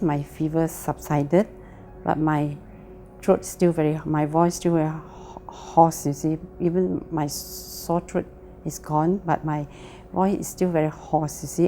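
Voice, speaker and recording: A woman's voice: low voice, fairly even intonation, a little slow, dark tone, a little hiss or hum. A woman with a Malaysian English accent giving a plain, earnest personal testimony. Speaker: testimony speaker.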